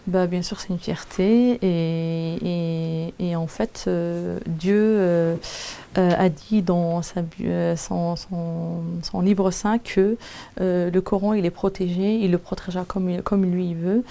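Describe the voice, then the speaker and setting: A woman's voice: fairly low pitch, steady tone, slow, vocal fry, warm tone. A woman who teaches Quran recitation and is a native Arabic speaker, giving a personal testimony in French, hesitant and often searching for words.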